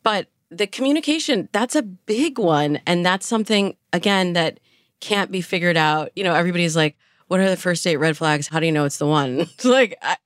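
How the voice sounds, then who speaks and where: Low-voiced woman giving coaching advice. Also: fairly quick, dry recording, clean studio sound. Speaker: dating coach, interview guest. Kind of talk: conversational advice in a podcast interview.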